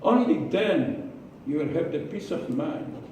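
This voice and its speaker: Slow, deliberate man in an echoey room. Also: a little high, sing-song, darkish tone, far from the mic, slight background noise. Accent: Israeli. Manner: slow, deliberate, with pauses and repeated words. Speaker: club speaker. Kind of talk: prepared speech. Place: meeting room.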